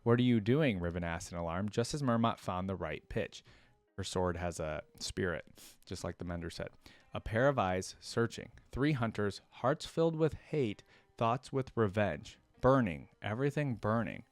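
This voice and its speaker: A man with a fairly low voice works through a fantasy story aloud as a reaction host. His delivery is informal, shifting between plain narration and casual commentary, often checking in with small tag questions. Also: expressive, moderate pace, no echo.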